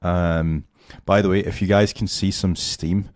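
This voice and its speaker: A man explaining website tips in a casual, rambling tutorial at home, full of fillers and "right?" check-ins, his voice going hoarse. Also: low voice, fairly even intonation, unhurried, a little mellow, no room sound, clean studio sound. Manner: loose, filler-heavy, keeps checking in with "right?". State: losing his voice, a bit hoarse. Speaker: SEO tutor. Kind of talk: casual screen-share tutorial.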